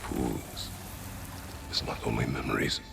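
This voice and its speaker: An actor playing a stern, haunted warrior and former war god in a video game, terse and grave with a growling voice. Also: male voice, extremely low pitch, fairly even intonation, unhurried, vocal fry, background noise, full character voice. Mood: grim, curt. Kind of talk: dramatic video game acting. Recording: music behind the voice.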